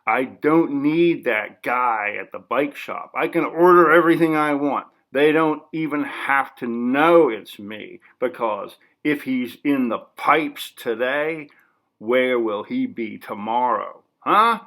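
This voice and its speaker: Man acting an agitated, ranting, gravel-voiced paranoid artist. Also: mid-range voice, slow, a bit bright. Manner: profane, insistent, ranting. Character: agitated, foul-mouthed, paranoid male artist under interview.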